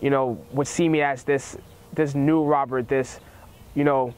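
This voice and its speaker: A man's voice: mid-range voice, fairly even intonation, unhurried, darkish tone, smooth. A teenage boy giving a documentary interview, hesitant and restarting his phrases. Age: teens. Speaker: student interviewee.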